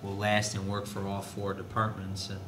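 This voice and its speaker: A man speaking as an administrator at a school board meeting, explaining in a halting way with restarts. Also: monotone. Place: indoor board meeting room. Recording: noisy, echoey meeting room with low rumble.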